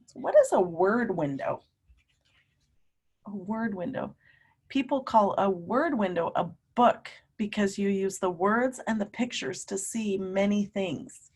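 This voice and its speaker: A woman teacher reading a picture book aloud to young children, animated and emphatic, often putting questions to her listeners. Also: medium pitch, breathy edge. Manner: animated, emphatic, drawn-out words, coaxing young children along.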